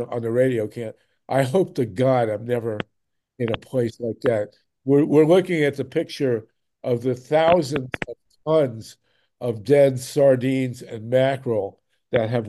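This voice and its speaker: A man's voice: mid-range voice, warm tone, a little hiss or hum, low-quality recording. A man hosting a talk show over a video call, speaking emphatically and repeating words when something moves him. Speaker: host.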